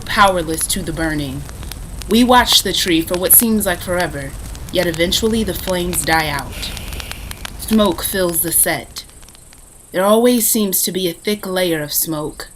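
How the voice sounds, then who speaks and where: Low-voiced woman reading stage directions. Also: slight background noise, poor audio. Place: online rehearsal on a video call.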